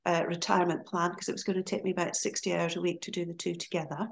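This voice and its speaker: A middle-aged woman with a Scottish accent from Aberdeen talks in a relaxed, chatty way as a podcast guest. Her voice sits fairly low, and she laughs easily as she goes along. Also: quick pace, gravelly, a little hiss or hum. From Scotland. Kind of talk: casual interview chat.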